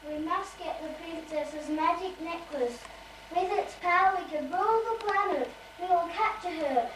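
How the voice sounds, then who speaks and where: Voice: very high voice, moderate pace, fairly bright tone, far from the mic. A young performer voicing a scheming villain leader in an amateur puppet show, on an echoey, noisy home video. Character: scheming villain leader plotting to rule the planet.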